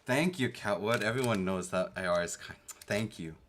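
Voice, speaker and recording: A man's voice: lowish voice, moderate pace, a bit bright, slight background noise. A young man from Canada who grew up speaking Korean at home, chatting casually with live-stream viewers and reading the chat aloud. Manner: chatty, reads chat aloud, playfully defensive.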